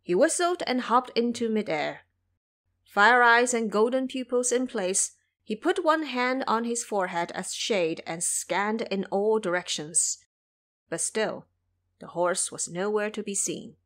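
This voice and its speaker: A woman storyteller narrates an audio drama. Her delivery is expressive and literary, with a medium-pitched voice that moves up and down in animated fashion. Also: a little slow.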